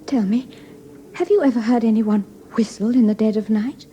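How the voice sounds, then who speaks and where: An actress plays a gentle, uneasy young Englishwoman in an old radio play with faint background noise. Her voice is very high and softly dark. She speaks genteel Received Pronunciation. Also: normal speed, close to an everyday voice. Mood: uneasy, tender. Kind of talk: classic British radio drama acting.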